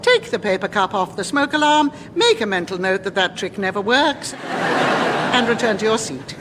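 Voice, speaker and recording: An actress playing a bossy, sharp-tongued woman who runs a tiny airline in a radio sitcom, speaking with imperious sarcasm. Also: mid-range voice, very animated, normal speed, long reverb tail, noisy background, natural voice. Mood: exasperated, commanding.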